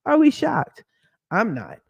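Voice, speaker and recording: A hoarse woman whose voice has dropped deeper from a lingering cough talks through a casual screen-share walkthrough. She runs a credit repair business and speaks candidly in slang, with sassy asides. Her voice is recorded dry and close on a computer mic. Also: huge pitch swings, slow pace, no echo, dull audio.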